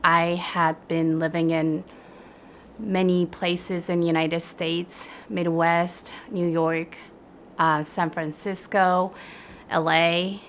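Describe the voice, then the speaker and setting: A woman from Japan speaking in an interview, reflective and pausing often with "um.". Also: on the low side, a bit bright, slight background noise. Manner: reflective, with hesitant fillers. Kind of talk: documentary interview.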